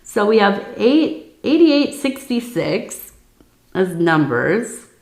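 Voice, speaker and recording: A woman reading tea leaves in a soft-spoken ASMR style, hoarse from losing her voice, rambling with many fillers and a dry mouth. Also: medium pitch, big pitch swings, takes it slowly, roomy sound. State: losing her voice, sore throat. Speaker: tea leaf reader.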